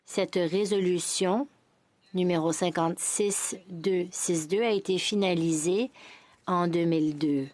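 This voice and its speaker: A woman with a fairly low voice speaks in the measured cadence of a formal parliamentary address, now and then restarting a word. The sound is dry and close, with no room echo. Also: a little mellow.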